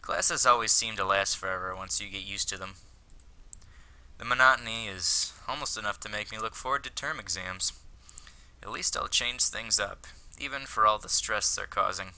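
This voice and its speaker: A man voicing an earnest, lovestruck, self-conscious high school boy from an anime visual novel, in a wordy, dramatic read-aloud delivery. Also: normal speed, bright, forceful tone, clear, resonant tone, low-quality recording. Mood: wistful, dramatic.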